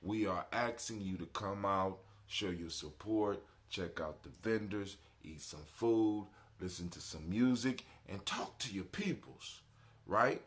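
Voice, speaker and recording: A man's voice: low-pitched, measured pace, fairly bright tone. A middle-aged man hosting a live internet radio show in a casual, folksy, conversational way, repeating phrases for emphasis. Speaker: podcast host.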